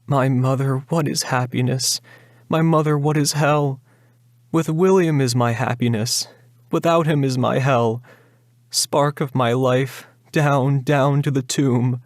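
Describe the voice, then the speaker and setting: A man's voice: mid-range voice, steady tone, slow pace, no echo, studio quality. A man voicing a wildly despairing, defiant young woman, anguished and declamatory, in a dramatic reading of a gothic ballad.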